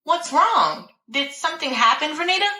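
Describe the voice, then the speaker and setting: A woman's voice: on the high side, big pitch swings, normal speed, reverberant, voice pushed up. A woman putting on a pleading doctor. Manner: gentle, coaxing, calls the listener honey and dear. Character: concerned female doctor, soothing and pleading.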